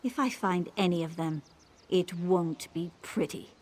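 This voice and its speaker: A woman's voice: on the low side, unhurried, no room sound. A woman playing a bitter, jealous woman in a fantasy video game, grumbling resentfully with muttered threats. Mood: jealous irritation. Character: bitter, jealous woman fuming over straying man.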